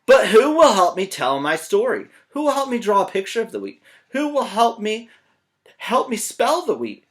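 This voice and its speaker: A man's voice: sits high, big pitch swings, moderate pace, a bit bright, pitched above the performer's own voice. A man voicing a needy, persistent little red hen from a storybook, asking plaintively and insistently.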